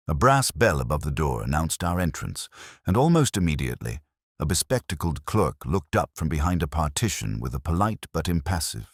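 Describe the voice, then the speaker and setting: A man's voice: low-pitched, no echo, clean studio sound. A man reading a scripted Victorian detective story as a narrator. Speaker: audiobook narrator.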